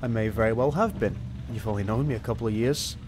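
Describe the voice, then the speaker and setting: A man playing a grim, determined detective inspector in a noir radio play, with a deadpan delivery. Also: on the low side, quick pace, background noise, natural voice. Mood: dry banter. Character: brooding, determined male detective inspector narrating his bleak story. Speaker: actor in amateur college radio play.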